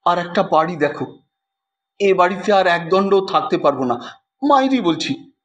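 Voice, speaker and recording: A man's voice: high voice, animated, normal speed, fairly bright tone. A man voicing a distraught, stubbornly pious housewife, pleading and insistent, in a dramatised audio story. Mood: anxious, insistent. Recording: dull lo-fi track with background noise.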